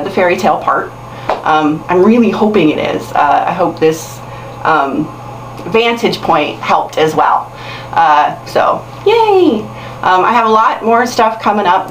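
A woman in her thirties giving casual how-to instruction to viewers, chatty and self-deprecating, recorded in a small bathroom on a camera mic. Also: medium pitch. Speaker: hair tutorial host. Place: small tiled bathroom, indoors. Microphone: camera mic at a short distance. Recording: roomy, distant camera mic with hiss.

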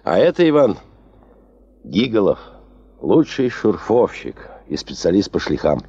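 An actor playing a proud expedition boss making introductions in a 1970s Soviet radio drama. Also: male voice, lowish voice, very animated, measured pace, dark tone, breathy edge, no echo, lo-fi sound, exaggerated voice. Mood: brisk, proud.

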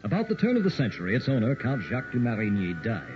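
An actor playing an ominous, dramatic storyteller narrating a gothic old-time radio horror tale. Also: male voice, medium pitch, wildly swooping pitch, quick pace. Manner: dramatic, portentous. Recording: dull old radio recording, music behind.

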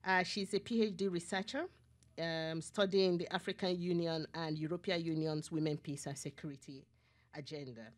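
A woman moderating a conference panel from a podium, playful and lively as she introduces speakers. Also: fairly low pitch, normal speed. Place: conference room.

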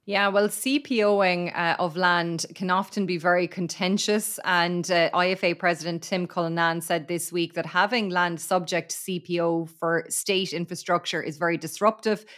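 An Irish woman with a fairly low voice, reporting farm news on a podcast. She talks in a steady, detailed manner, with the odd filler word, into a studio microphone. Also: moderate pace, few pauses.

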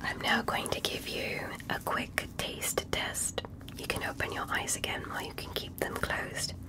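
A woman voicing a gentle alien medical examiner in an ASMR roleplay, soothing, reassuring and politely clinical. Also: measured pace, close to an everyday voice. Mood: soothing, calm.

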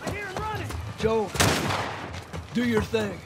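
An actor playing a defiant, weary younger brother and hardened settlement fighter in a video game, blunt and earnest. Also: male voice, extremely high pitch, big pitch swings, a little slow, heavy background noise, full character voice. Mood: urgent, shouting. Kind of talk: video game cutscene acting.

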